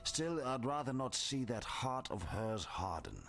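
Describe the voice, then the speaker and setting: A man's voice: mid-range voice, big pitch swings, unhurried, vocal fry, a bit bright, full character voice. An actor playing a bitter, disfigured outcast in a video game, sardonic and florid in his wording. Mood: grudging, weary. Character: bitter, disfigured male outcast with reluctant soft spot. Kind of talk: scripted game character dialogue. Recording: music behind the voice.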